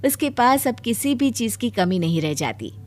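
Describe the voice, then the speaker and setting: A woman's voice: a little high, very animated, moderate pace, darkish tone, faint music behind. A woman narrator reading a scripted children's cartoon story.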